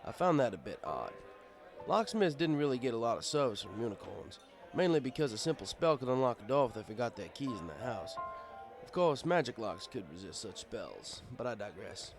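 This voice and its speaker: A fatherly male pony bartender , voiced by a man narrates his own audiobook tale. He is easygoing and wry, with an unhurried conversational delivery at a middle pitch. Faint music plays behind him. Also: sing-song. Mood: reflective. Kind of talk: first-person audiobook narration in character.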